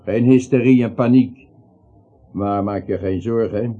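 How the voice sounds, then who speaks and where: A man's voice: lowish voice, a little slow, soft background music, lightly acted. An actor in a Dutch radio play, playing a brusque, self-assured policeman with an assertive, matter-of-fact delivery. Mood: confident, irritated. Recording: dull, muffled radio play recording.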